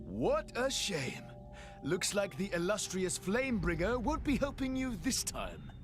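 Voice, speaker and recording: A man's voice: high voice, huge pitch swings, a little slow, music in the background, heavily acted. An actor playing a cocky, theatrical rival swordsman prince in a video game, pompous and showy as he taunts. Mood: mocking. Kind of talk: video game cutscene acting.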